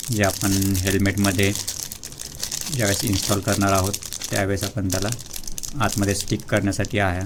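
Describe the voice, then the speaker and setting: A man from India gives a casual, unscripted product walkthrough, halting between short phrases. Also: on the low side, noisy background. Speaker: vlogger presenting a product unboxing.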